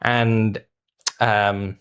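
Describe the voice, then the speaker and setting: A man's voice: fairly low pitch, flat delivery, drawn-out words, fairly bright tone, smooth. A man co-hosting a conversational film review, thinking aloud in a hesitant, thoughtful way with the odd mild swear word.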